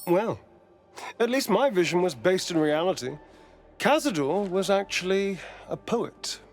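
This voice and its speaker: A vain vampire elf rogue for a game. The voice is highish and very animated, unhurried, sly and theatrical, with sardonic flirtation. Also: male voice, soft background music. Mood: bitterly wry. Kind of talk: video game dialogue acting.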